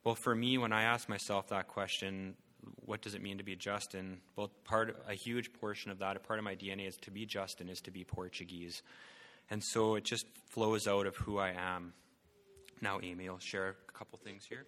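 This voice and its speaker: A man presenting to a church about his family and calling, speaking haltingly with many fillers and restarts. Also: lowish voice, steady tone, pushed and bright, long reverb tail. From Canada.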